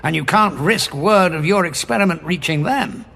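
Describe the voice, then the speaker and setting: An actor playing a defiant, quick-witted war veteran hero in a science fiction audio drama, with a wry, dramatic delivery. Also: male voice, fairly high pitch, animated, vocal fry, pushed and bright, full character voice. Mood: defiant, taunting.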